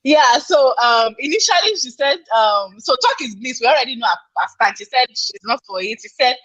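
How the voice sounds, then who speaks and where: Husky Nigerian woman hosting a chat. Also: high-pitched, lively intonation, brisk, fairly bright tone, no room sound, dull audio. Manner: chatty, reads out viewer comments, exclaims. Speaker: podcast host. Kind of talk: casual live podcast chat.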